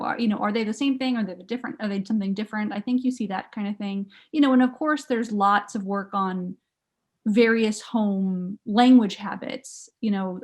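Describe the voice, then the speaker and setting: A woman's voice: mid-range voice, a little mellow. A woman researcher explaining her ideas in an academic talk, emphatic and thinking aloud as she goes. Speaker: academic researcher, panel presenter. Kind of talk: academic presentation and question answering.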